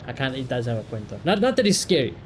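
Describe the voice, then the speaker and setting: A man chatting casually on a livestream, reacting and ranting in an animated, blunt, sweary way. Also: mid-range voice, quick pace, a little hiss or hum. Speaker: live streamer.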